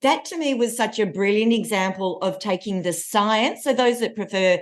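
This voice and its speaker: Animated woman in her forties. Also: mid-range voice, moderate pace. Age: 40s. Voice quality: loud voice. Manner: energetic, animated storytelling with laughs. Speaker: guest, confidence coach. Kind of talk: casual podcast conversation.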